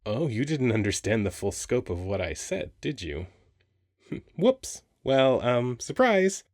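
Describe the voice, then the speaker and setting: A man playing a handsome, playful male sphinx guarding a temple in an ASMR roleplay, talking in a teasing, expressive, conversational way. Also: medium pitch, slow pace, no echo, clean studio sound. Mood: flirty, teasing. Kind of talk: ASMR roleplay audio acting.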